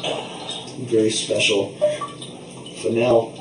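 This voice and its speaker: A man's voice: lowish voice, level tone, slow. A man improvising a comic story as a puppet show narrator, with a playful, rambling, theatrical delivery. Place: bathtub in a bathroom. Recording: echoey bathroom, distant noisy mic, water sounds.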